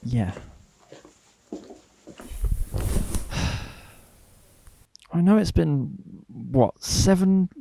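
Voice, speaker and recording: A lovelorn, hesitant man in an audio play. His dark, soft-edged voice is slow and crackles with fry as he talks haltingly in a close, dry recording. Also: mid-range voice, no room sound, heavily acted. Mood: wistful, pained. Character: hesitant, lovelorn brother of a woman detective. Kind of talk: naturalistic audio drama acting.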